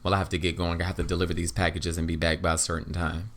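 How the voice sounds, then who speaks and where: A performer voicing a courteous, softly flirtatious male postman in an audio drama, pitched down from their usual voice. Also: level tone, rapid-fire. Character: smitten, polite postman, smooth-talking suitor, a man.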